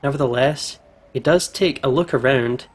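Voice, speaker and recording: Expressive man reading a visual novel aloud. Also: medium pitch, moderate pace. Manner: expressive, emotionally invested reactions. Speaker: let's play host.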